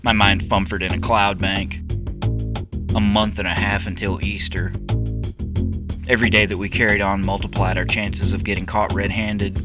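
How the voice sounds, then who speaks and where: Low-pitched male audiobook narrator. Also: level tone, normal speed. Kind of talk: first-person scripted narration. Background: music.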